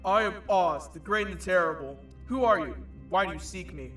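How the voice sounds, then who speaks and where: A man's voice: high voice, animated, brisk, a bit bright, soft background music. A man in an audio play playing a huge, terrible, menacing beast. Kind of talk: audio play acting before a live audience. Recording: echoey, distant.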